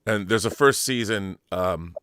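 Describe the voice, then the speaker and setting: Eager lowish-voiced man hosting. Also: measured pace, a bit bright, clear, resonant tone, no room sound, clean studio sound. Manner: eager, fan-like, jumps between thoughts. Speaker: interview host. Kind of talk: casual chat.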